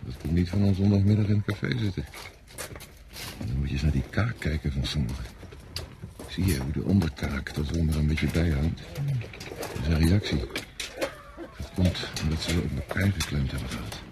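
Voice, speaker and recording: An actor playing a worldly-wise, cynical veteran soldier in a radio drama, explaining things in a knowing, self-assured way. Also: male voice, very deep voice, normal speed, long pauses. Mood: knowing, grim. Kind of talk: Dutch radio drama acting. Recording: noisy radio play recording, outdoor ambience.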